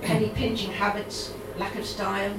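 A middle-aged woman with a Dublin Irish accent reads her poems aloud at a live event, steady and measured, slipping into halting, um-filled chat between pieces. She sounds set back from the microphone in a reverberant room. A steady murmur of room ambience sits underneath her. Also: medium pitch, noisy background. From Ireland. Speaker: poet. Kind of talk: live poetry reading with chatty asides. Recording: roomy, distant mic, audible room ambience.

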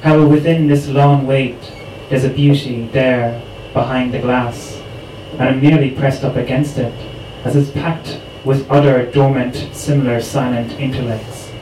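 A man from Ireland recites his own poem in a slow, steady way, his pitch staying flat and level. He is picked up from some distance in an echoey room, with clear background noise around him. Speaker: poet at open mic. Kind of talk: spoken word poetry reading.

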